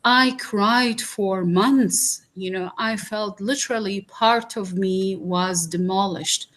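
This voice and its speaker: A woman from Iraq speaks slowly and earnestly on a podcast. She leaves many pauses and fillers. Her voice sits at an ordinary middle pitch. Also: fairly bright tone. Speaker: guest speaker. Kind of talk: reflective podcast talk.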